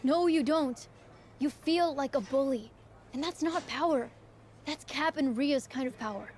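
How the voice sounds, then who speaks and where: A woman's voice: sits high, unhurried, a little mellow. An actress playing a plucky, principled young female pro wrestler in a video game cutscene, earnest, emphatic and colloquial. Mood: firm, rousing.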